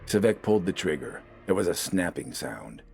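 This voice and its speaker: Low-voiced man narrating a dramatic story. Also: big pitch swings, normal speed, creaky, darkish tone, a little hiss or hum. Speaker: audiobook narrator.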